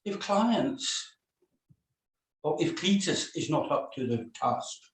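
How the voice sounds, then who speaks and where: A man's voice: on the high side, expressive. A man playing a stern, self-important hotel manager in a script read-through, speaking formally and pompously. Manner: formal, pompous, deliberate speechmaking. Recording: roomy, echoing voice recording.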